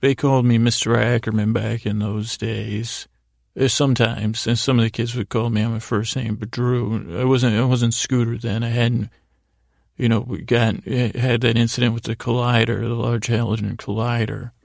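A middle-aged American man delivers a meandering bedtime monologue. His voice is full of gravelly fry and stays in a narrow, even range. He speaks haltingly, digressing and leaving thoughts unfinished, in a close, dry recording. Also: medium pitch, dry recording. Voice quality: gravelly, creaky voice. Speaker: podcast host.

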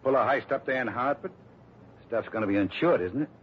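A man's voice: medium pitch, animated, a little fast, breathy edge. Tough cocky gunman, muffled old radio. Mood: gloating threat. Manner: slangy, menacing wise-guy talk. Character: tough cocky male gunman on the run, streetwise crook. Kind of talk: 1960s radio crime drama acting. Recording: muffled old radio broadcast, faint hiss.

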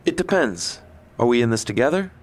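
A man's voice: medium pitch, sing-song, fairly quick. A man playing a teasing, determined assassin who wants to go straight, coaxing and emphatic, in an audio drama.

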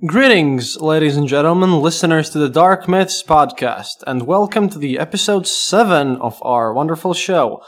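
A man hosting a casual podcast interview, animated and full of restarts. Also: fairly high pitch, moderate pace, few pauses, faint music behind. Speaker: podcast host.

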